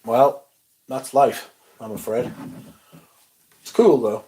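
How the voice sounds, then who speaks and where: A young man hosting a casual livestream, reading chat comments aloud and chatting in a loose, relaxed way with casual swearing. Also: medium pitch, takes it slowly, low-quality recording. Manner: laid-back, swears casually, mumbles asides, reads chat aloud. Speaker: livestream host.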